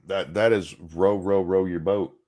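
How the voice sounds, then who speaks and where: A man's voice: deep voice, steady tone, a little slow, mellow. A man co-hosting a casual political commentary show, reading news aloud and breaking off into sarcastic, profane asides. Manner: sarcastic, swears freely, jumps from dry reading to mocking asides. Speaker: co-host.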